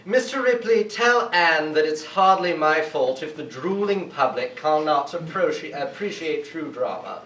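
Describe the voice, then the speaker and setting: Haughty, slow-talking theatrical ghost played by a woman. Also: low voice, animated. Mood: indignant. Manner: pompous, affected. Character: haughty theatrical Victorian ghost, scornful of the public. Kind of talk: staged reading of a cartoon script. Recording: distant mic, echoey room, faint noise.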